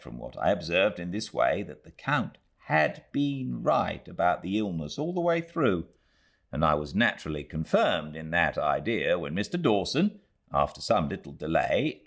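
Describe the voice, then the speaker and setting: A man with a British accent reading a classic novel aloud as an audiobook narrator, in a measured, expressive storytelling manner. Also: deep voice, gravelly. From Britain.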